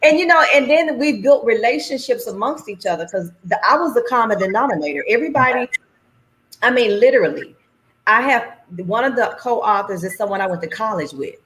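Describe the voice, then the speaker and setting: A middle-aged woman chatting on a livestream, telling stories with animated, folksy phrasing. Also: fairly high pitch, brisk, low-quality recording. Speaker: anthology organizer and guest. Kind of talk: casual conversation on a livestream.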